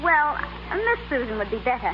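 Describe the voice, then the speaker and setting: A woman playing a polite, gently firm young lady of a wealthy household, in 1930s radio style. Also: sits high, big pitch swings, fairly quick. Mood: polite, hesitant. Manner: well-bred, formal, gently firm. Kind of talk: 1930s radio comedy acting. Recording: scratchy, muffled 1930s radio recording.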